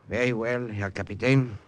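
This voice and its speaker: An actor playing a formal, guarded German soldier turned informant in a wartime radio drama, stiff and deferential, with a German accent. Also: male voice, on the low side. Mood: hesitant, guarded. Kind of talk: 1940s radio acting.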